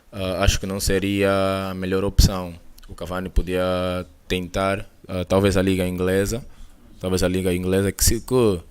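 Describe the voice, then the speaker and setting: A man giving football commentary in a hesitant, measured way with many fillers. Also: low-pitched, a little mellow, smooth. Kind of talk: sports commentary chat.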